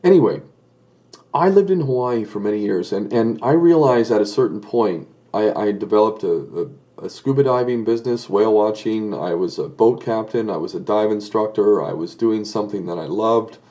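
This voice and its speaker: Earnest man with a low, mellow voice. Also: moderate pace. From Southern California. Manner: earnest, hesitant with many fillers and restarts. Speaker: political commentator. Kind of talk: solo video commentary.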